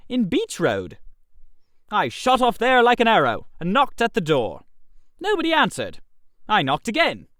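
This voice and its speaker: A man narrating a comic first-person story in a theatrical, expressive manner. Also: high voice, no room sound, clean studio sound.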